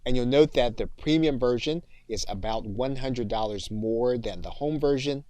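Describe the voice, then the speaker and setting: Male tutorial instructor. Lower register, measured and explanatory delivery, very dry recording. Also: darkish tone, dry recording. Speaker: course instructor.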